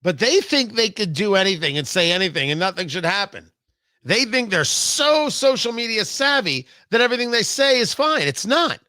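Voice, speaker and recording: American male political host, high and punchy, emphatic monologue style with theatrical pauses, very dry studio-like sound. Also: bright, forceful tone, no echo. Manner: emphatic, repeats phrases for effect. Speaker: political talk show host. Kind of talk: opinionated political commentary monologue.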